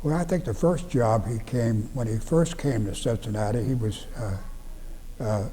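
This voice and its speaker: A man in his nineties from Ohio, recalling his youth in a slow, halting way with many restarts. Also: mid-range voice, mellow, long reverb tail, slight background noise. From Ohio, USA. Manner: halting, plain-spoken, frequent restarts and fillers. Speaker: veteran interviewee. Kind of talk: oral history recollection. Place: library room.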